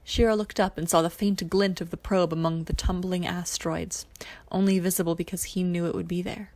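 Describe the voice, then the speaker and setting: A woman narrates fiction from a script. Her voice sits a little low for a woman and carries a strong creak throughout. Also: flat delivery, fairly quick, a little mellow. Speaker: podfic narrator reading fan fiction.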